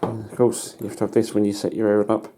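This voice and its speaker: A British man giving a casual hands-on demonstration as a hobbyist, in a halting, matter-of-fact way. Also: lowish voice, completely flat delivery, warm tone. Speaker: hobbyist presenter. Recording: noticeable background noise.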